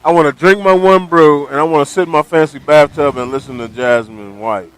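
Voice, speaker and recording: A man's voice: on the high side, a little fast, clean tone, poor audio. A man co-hosting a radio show, chatting casually and joking, often repeating himself.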